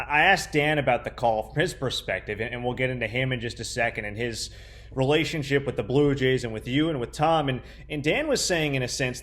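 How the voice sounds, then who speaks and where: A young male sports broadcaster talks conversationally as an interviewer. His pitch is average, and he builds long, enthusiastic lead-ins to his questions. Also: fairly bright tone. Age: young adult.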